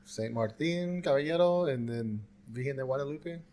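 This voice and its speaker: A man with a mid-pitched voice talks as a podcast co-host. His speech is casual and colloquial, loosely phrased and easygoing in its wording, as in banter between friends. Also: normal speed, a little mellow.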